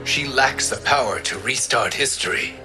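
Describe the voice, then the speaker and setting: An actor playing a calm, noble warrior god in a video game, speaking in an earnest, formal and courteous way. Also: male voice, mid-range voice, normal speed, natural voice. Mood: resolute. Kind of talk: dramatic video game acting. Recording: music behind the dialogue.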